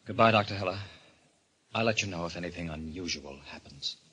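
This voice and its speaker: A man's voice: low voice, a little fast, lightly acted. An actor playing a solicitous, insistent husband alarmed by his wife, speaking urgently and patronizingly in 1940s radio thriller style. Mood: pressing. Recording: noisy vintage radio recording.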